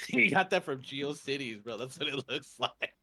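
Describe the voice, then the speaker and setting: A man's voice: medium pitch, expressive, moderate pace, creaky, bright, forceful tone. A man with an American accent chatting casually as a podcast co-host, slangy and full of "bro" and "dude". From United States. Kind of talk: casual banter.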